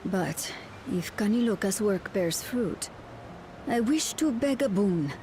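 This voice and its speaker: A woman acting a yearning, devoted servant of a ruthless master in a video game, speaking in a hushed, deliberate way. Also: medium pitch, expressive, a little hiss or hum. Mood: grave, resolute. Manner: slow, hushed, deliberate, longing.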